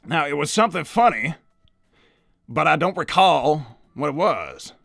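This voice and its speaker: A man's voice: on the high side, very animated, a little slow, creaky, fairly bright tone, dry recording, clean studio sound, heavily acted. A man voicing an old hedgehog uncle in a cartoon interview, hesitant and evasive, stammering and repeating himself as he rambles. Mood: evasive, rambling. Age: older. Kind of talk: improvised in-character interview.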